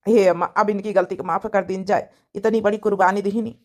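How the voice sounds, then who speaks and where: A woman's voice: medium pitch, steady tone, a little fast, lightly acted. A woman voicing an earnest, emotional village man in a cartoon family drama, insistent and repetitive, with an Awadhi accent. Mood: earnest, pleading.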